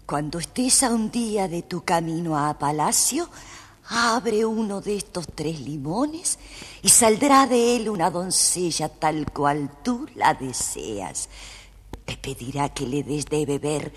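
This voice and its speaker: An actress playing a very old, kindly and mysterious woman in a children's audio tale, with a husky, deliberate delivery. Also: sits low, animated, heavily acted. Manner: slow, deliberate storytelling delivery. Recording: long hall reverb, faint music behind.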